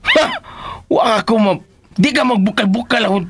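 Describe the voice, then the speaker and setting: A man's voice: high-pitched, moderate pace. A Filipino-accented man in a radio drama playing a gluttonous, teasing nephew who comically fumbles and repeats his words. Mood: bantering, indignant.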